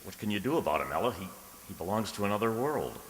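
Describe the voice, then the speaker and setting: A man's voice: lowish voice, sing-song, fast talker, a bit bright, slight background noise. A man acting an anxious, lovelorn man in a radio drama, earnest and pleading, with hesitant, stammering speech. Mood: wistful. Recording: long hall reverb, music underneath.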